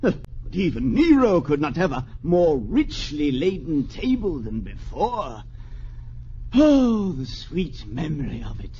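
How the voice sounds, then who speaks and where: A man's voice: a little high, sing-song, a little slow, mellow. An actor playing a greedy, gluttonous Roman nobleman at a banquet, in 1940s radio acting with a relishing, fussy manner, on an old transcription recording. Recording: dull transcription disc recording.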